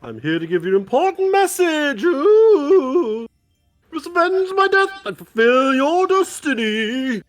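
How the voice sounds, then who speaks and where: A man's voice: very high voice, sing-song, a little slow, dry recording, soft background music, exaggerated voice. A man voicing a theatrical otherworldly messenger who proclaims melodramatically and demands vengeance in a comic dating game. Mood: grandiose, urgent.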